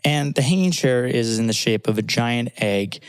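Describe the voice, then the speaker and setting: A man co-hosting a podcast in casual chat, relaxed and quick to laugh. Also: medium pitch, clean tone, no echo, clean studio sound. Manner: relaxed, laughs easily, conversational. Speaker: podcast co-host. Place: small podcast booth in a new office.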